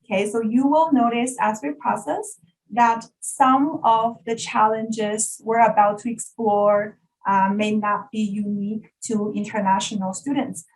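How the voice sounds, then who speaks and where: Female workshop facilitator, native Mandarin speaker with Chinese accent, fairly high pitch, formal and courteous presenting. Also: fairly even intonation, unhurried, slight background noise, low-quality recording. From China. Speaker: workshop facilitator, educational developer. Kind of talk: workshop introduction, prepared presentation. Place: online video call session.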